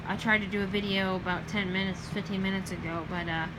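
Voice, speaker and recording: A young woman with a Southern US accent chats through a late-night vlog at a middling pitch. She rambles, corrects herself and lets sentences fade quietly. Steady background noise sits under her voice on the recording. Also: fast. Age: young adult. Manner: rambling, with many ums and self-corrections. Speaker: vlogger.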